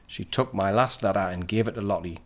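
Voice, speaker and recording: A man plays a young fallen soldier in a 1919 radio play, watching his family unseen. His voice is on the low side, with a wistful storyteller's manner. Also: brisk, natural voice. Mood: wistful narrating. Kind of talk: audio drama narration and acting.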